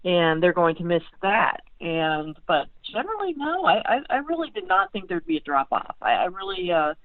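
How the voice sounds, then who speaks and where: A woman's voice: fairly low pitch, big pitch swings, moderate pace, no echo. A phone-like, narrow-band line carries an American woman talking sports as a guest analyst. She speaks casually and conversationally, often restarting and repeating phrases as she works out her point. The sound is dry, with no room around it. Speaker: sports beat writer, podcast guest.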